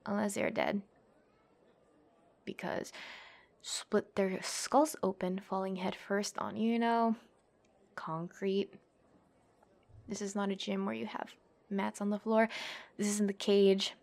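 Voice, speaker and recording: Female voice actor as tough young tsundere woman, cocky, taunting, bossy, mid-pitched voice, ASMR girlfriend roleplay. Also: level tone, slow, vocal fry, long pauses, a little mellow. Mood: menacing, cocky. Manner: brash, taunting, bossy, teasing.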